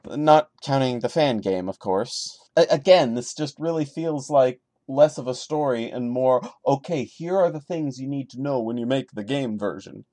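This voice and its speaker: A man's voice: mid-range voice, moderate pace, few pauses, dark tone, no echo. A man hosting a podcast, giving a dramatic reading broken up by sarcastic, exasperated asides. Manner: sarcastic, exasperated commentary breaking into the narration. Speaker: podcast host.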